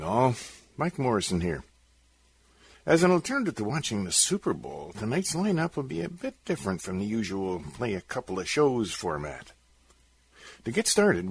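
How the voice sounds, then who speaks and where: A man's voice: lowish voice, husky. A man hosting a radio show, reading a scripted programme introduction. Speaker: radio host.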